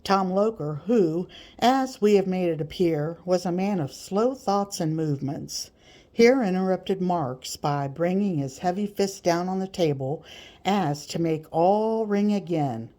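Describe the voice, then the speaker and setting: Woman, audiobook narration, lowish relaxed voice, very leisurely pace. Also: a little slow. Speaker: audiobook reader. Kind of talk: scripted narration, reading a novel aloud.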